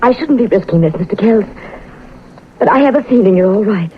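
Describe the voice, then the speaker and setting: Casting: woman to play worried, grieving young wife, medium pitch, emotional 1940s radio acting, slightly muffled archive sound. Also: expressive, a little fast, natural voice. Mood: anxious, confiding. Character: anxious, grieving young wife of a secret agent. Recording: dull, hissy vintage radio recording.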